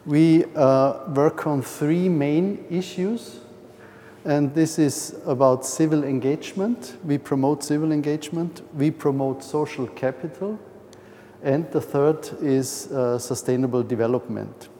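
A man's voice: fairly high pitch, measured pace, warm tone, in a large hall, slight background noise. A man from Austria, speaking English with a heavy Austrian German accent, gives a nervous, hesitant conference presentation with many fillers and restarts.

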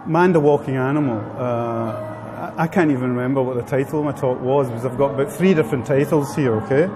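A man's voice: mid-range voice, fast, over music. Italian-accented man in an echoing hall. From Italy. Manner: informal, wry, addresses the audience directly. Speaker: guest speaker. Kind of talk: live seminar talk. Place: seminar room with live audience. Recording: long hall reverb.

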